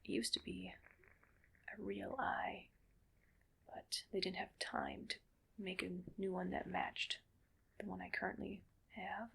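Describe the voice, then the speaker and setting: A woman playing a gentle, weary cyborg soldier in a whispered ASMR roleplay, speaking breathily in slow, halting, soothing phrases. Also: fairly low pitch, level tone, vocal fry, slightly rough, exaggerated voice. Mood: calm, reassuring.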